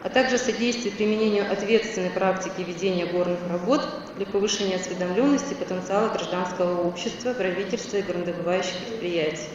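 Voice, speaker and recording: A woman's voice: medium pitch, quick pace, hardly any pauses. A woman giving a formal conference presentation, with a few hesitations. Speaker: conference speaker. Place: large conference hall. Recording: distant, echoey hall, dull, noisy.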